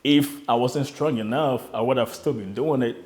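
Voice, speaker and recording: A man's voice: medium pitch, normal speed, a little mellow. A young man, earnestly telling his own immigrant story in an interview, often repeating words as he restarts. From Ghana. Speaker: interviewee, immigrant start-up founder. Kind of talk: reflective personal story.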